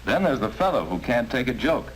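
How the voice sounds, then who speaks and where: A man narrating an educational film in a measured, instructive way. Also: medium pitch, big pitch swings, slight background noise, rough recording.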